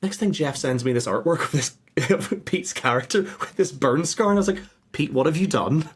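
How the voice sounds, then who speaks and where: A husky-voiced man chatting casually and anecdotally as a game master guest. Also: fairly high pitch, expressive, moderate pace. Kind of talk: casual anecdotal chat, with scripted dramatic trailer narration.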